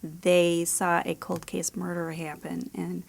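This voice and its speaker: A woman with a noticeably creaky, gravelly voice at a middle pitch. She speaks as an interview guest in a casual, explanatory way, like an author describing her work. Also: level tone, slow pace, slight background noise.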